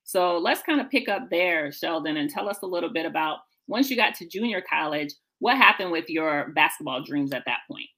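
An American woman with a rather low but bright, forceful voice hosts a live interview show. She speaks in an affirming way, recapping what has been shared and steering the conversation along. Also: lively intonation, a little fast, few pauses, poor audio.

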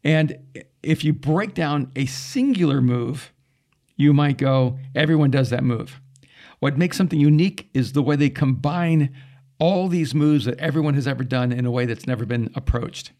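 A middle-aged man from El Salvador, a pastor whose first language is Spanish, telling animated stories in casual conversation. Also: mid-range voice, normal speed. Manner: animated storyteller with quoted asides. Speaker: pastor and podcast guest.

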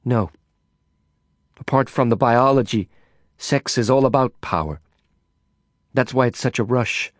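A man voicing a cool, enigmatic Swiss woman in an audiobook, speaking in a calm, measured, teasing way. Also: medium pitch, no room sound, studio quality, lightly acted.

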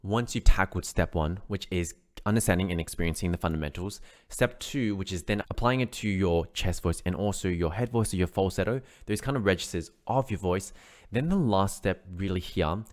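Low-voiced male vocal coach. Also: fairly quick. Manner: encouraging, explanatory, frequent "right?" tags. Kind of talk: online singing lesson.

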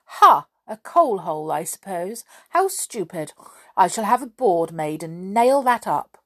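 A woman's voice: mid-range voice, big pitch swings, unhurried. A woman voicing a cross, haughty old woman in a children's storybook, snappish and scornful.

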